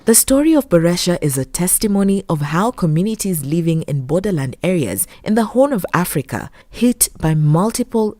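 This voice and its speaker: A woman reading scripted documentary narration in a measured, formal manner. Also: on the low side, a little mellow, dry recording.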